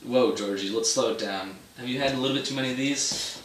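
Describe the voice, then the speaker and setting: A man's voice: medium pitch, fairly quick, natural voice. A young man playing a chummy, bragging old buddy with a hearty, casual delivery in an amateur stage play. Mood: puzzled, concerned. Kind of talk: amateur one-act stage play dialogue. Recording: echoey room, distant mic, noticeable noise.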